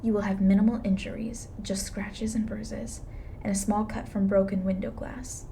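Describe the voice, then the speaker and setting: A woman playing a blunt, weary, reluctantly soft-hearted reaper in an intimate ASMR roleplay, dry and matter-of-fact. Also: medium pitch, little pitch movement, moderate pace, a little hiss or hum, close to an everyday voice. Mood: firm, instructing.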